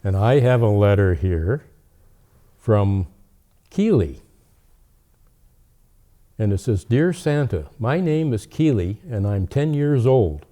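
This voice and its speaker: Man as old Father Christmas, very soft dark tone, kindly, avuncular, children's storytime. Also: fairly low pitch, animated, slow, long pauses. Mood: easygoing. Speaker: storytime co-host. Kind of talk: children's holiday show, letter reading.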